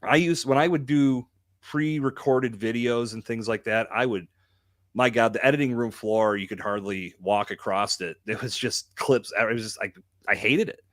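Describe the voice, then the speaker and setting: A man's voice: mid-range voice, moderate pace, a bit bright, dry recording. A man hosting a live podcast, talking in a candid, emphatic, colloquial way into a close microphone. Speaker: podcast host. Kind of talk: live conversational podcast talk.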